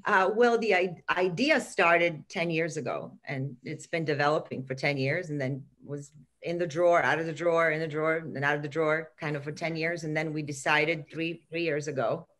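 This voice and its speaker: A woman with a Bosnian accent talks about her film on a video call, her voice sitting low and husky-deep for a woman. She rambles a little, laughs, and corrects herself mid-sentence. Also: steady tone, normal speed, fairly bright tone. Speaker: film director. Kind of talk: casual interview chat.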